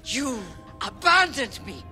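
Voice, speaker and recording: Shrill, fierce housekeeper. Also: female voice, sing-song, slow pace, pushed and bright, faint music behind, exaggerated voice. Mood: fierce, panicked. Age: middle-aged. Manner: dramatic, accusing. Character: fierce, frightened, superstitious middle-aged housekeeper, a woman. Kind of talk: video game drama acting.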